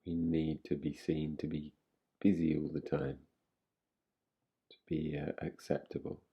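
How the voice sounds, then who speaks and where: A man guiding a relaxation meditation in an unhurried, soothing way, giving gentle instructions with long pauses. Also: very deep voice, level tone, gravelly, breathy edge, highs cut off. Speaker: meditation guide. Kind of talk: guided relaxation meditation, yoga nidra.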